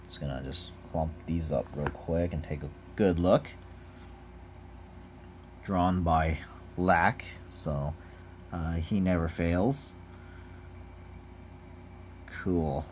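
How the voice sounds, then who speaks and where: Noticeable background noise surrounds a low-voiced man making a casual unboxing video. He speaks in a laid-back, off-the-cuff way, like a hobbyist looking over cards and reading them out. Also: extremely slow, long pauses, a little mellow. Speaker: card game unboxing vlogger. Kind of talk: casual off-the-cuff card review.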